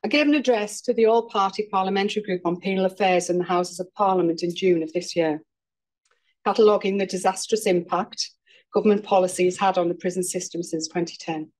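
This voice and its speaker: Hoarse middle-aged woman, plain-spoken lecturer at a podium, firm and critical. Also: mid-range voice, a little fast, darkish tone, poor audio. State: hoarse from a cold. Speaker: lecturer, prison governors' association president. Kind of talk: prepared formal lecture. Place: at a podium before a live audience.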